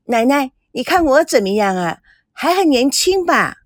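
Lady doing a cutesy show-off flirty woman, high pitched, bouncing all over, storybook style. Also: sing-song, normal speed, no room sound, studio quality. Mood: coquettish boasting. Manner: cutesy, simpering, boastful. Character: vain, coquettish, bubbly woman showing off.

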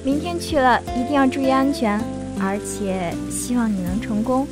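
An actress playing a tender, selfless young woman in an audio drama, speaking gently and hesitantly. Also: fairly high pitch, normal speed, over loud music, natural voice. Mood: wistful, encouraging. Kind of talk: Mandarin audio drama acting.

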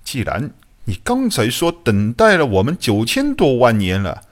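A man's voice: medium pitch, wildly swooping pitch, moderate pace. A man voicing a composed starship leader in an audiobook, challenging and probing.

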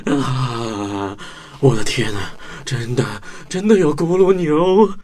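A man's voice: mid-range voice, slow pace, over loud music, close to an everyday voice. A man playing a small, cunning, stammering mouse in a children's audio drama, with exaggerated delivery. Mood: shocked. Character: small clever timid-seeming mouse, cunning bluffer.

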